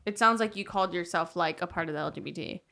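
An American woman in her twenties chatting casually as a podcast co-host, telling stories in an animated, slangy, sweary way. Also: lowish voice, fast, creaky. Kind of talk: casual chat, storytelling. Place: small room indoors. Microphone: podcast microphone on boom arm.

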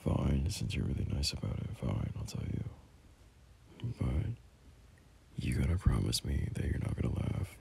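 A man playing a gentle, teasing young boyfriend in an intimate ASMR roleplay, speaking softly and breathily, often half-whispering in a hesitant, soothing way. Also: very deep voice, normal speed, creaky, breathy edge, heavily acted. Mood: hesitant, confiding. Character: gentle, teasing young boyfriend comforting his scared partner. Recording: faint background hiss.

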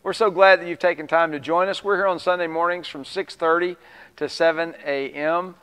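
Host man in his 50s, smooth resonant voice, a bit high, earnest preacherly tone, long reverb in the studio. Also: fairly even intonation, fairly bright tone. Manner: earnest, measured, preacherly emphasis. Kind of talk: church TV program hosting. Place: small studio set with plants.

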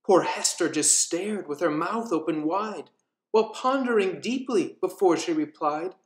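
A man's voice: sits high, lively intonation, unhurried. A young man with an American accent reading as an author, in storybook narration and casual introduction. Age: young adult. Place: indoor studio room with wooden floor. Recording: roomy echo.

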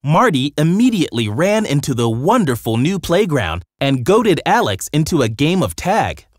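A man's voice: a little high, big pitch swings, normal speed, no echo, studio quality. A man narrating a scripted children's story with dramatic storytelling delivery.

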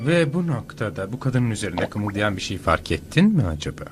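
A man's voice: on the low side, sing-song, brisk, music in the background. An actor voicing a smug male superhero therapist in a cartoon dub, patronizing and probing with professional airs. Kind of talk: animated cartoon dub acting.

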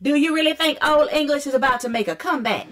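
A woman's voice: sits high, fast talker, noisy background, lightly acted. A woman playing a stern, exasperated school principal in a sitcom, admonishing and emphatic. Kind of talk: sitcom acting.

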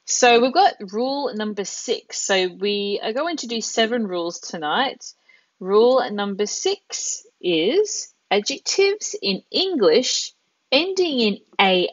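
A woman's voice: medium pitch, no echo, muffled recording. A woman in her thirties with an Australian accent, teaching a live online language class in an encouraging, chatty way, drawing out words carefully. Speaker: Italian teacher. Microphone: headset.

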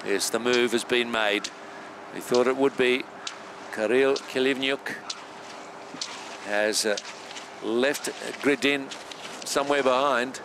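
A man giving live sports commentary in a measured, steady play-by-play manner with filler pauses. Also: medium pitch, noisy background.

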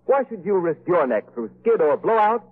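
A man's voice: sits high, sing-song, moderate pace. A man announcing in a 1930s radio style, reading a sponsor's advertisement with formal, emphatic salesmanship. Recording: tinny narrowband 1930s radio recording.

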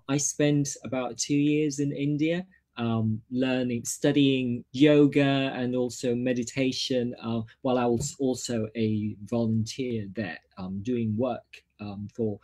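A man's voice: mid-range voice, a little mellow. Hesitant Hong Kong man introducing himself. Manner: hesitant, many ums and restarts. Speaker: nutritionist presenter. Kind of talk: self-introduction at a product launch.

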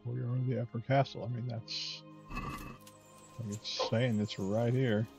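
A man's voice: mid-range voice, level tone, unhurried, creaky. A man doing casual gameplay commentary, musing aloud and hesitant as he plays. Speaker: game streamer. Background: game music.

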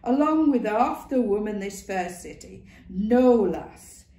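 Woman doing a worldly old matron: blunt, earthy, knowing, lively, heard in a roomy echo. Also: mid-range voice, slow. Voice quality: earthy dialect, hearty and knowing.

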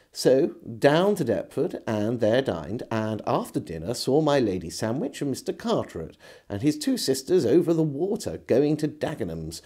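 This is a middle-aged man with an English accent, narrating a historical diary. His voice sits at an average male pitch and moves very expressively up and down. The delivery is measured and literary, in the manner of an old-fashioned storyteller. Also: darkish tone. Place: small indoor room lined with shelves.

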